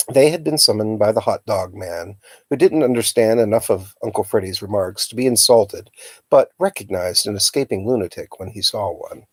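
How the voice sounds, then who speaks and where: A man reading a children's novel aloud as a storyteller, with a dramatic, expressive delivery. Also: on the low side, no room sound. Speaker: storytime reader.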